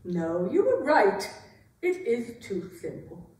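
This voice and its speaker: A woman's voice: mid-range voice, very animated, takes it slowly, darkish tone. A woman acting a proud, sardonic, wounded queen in a staged dramatic reading, with a dry, pointed theatrical delivery. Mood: wounded, wistful. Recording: roomy, echoing hall, distant microphone.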